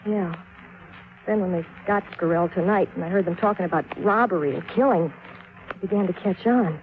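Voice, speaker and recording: A woman's voice: lowish voice, brisk, slight background noise, natural voice. A woman playing a desperate, defensive small-time crook's associate in a 1950s radio crime drama, urgent and pleading. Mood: anxious, pleading. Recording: thin phone-like 1950s radio recording.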